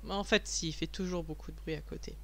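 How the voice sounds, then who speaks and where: A woman's voice: sits low, fairly even intonation, quick pace, a bit bright. A woman and mother of a young child, talking over her unboxing video afterwards in a chatty, self-mocking way, with many fillers and restarts. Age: adult, a mother of a young child. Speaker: vlogger reviewing a package. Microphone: voice-over recorded afterwards, not while unboxing.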